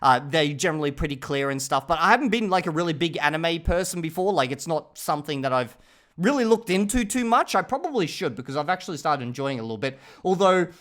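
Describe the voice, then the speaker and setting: A man talks to his viewers in a loose, rambling way, often checking in as he goes. His voice sits a little higher than most men's. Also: sing-song, fast talker, a bit bright. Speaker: channel host reviewing his language learning. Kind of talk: casual talking-head review.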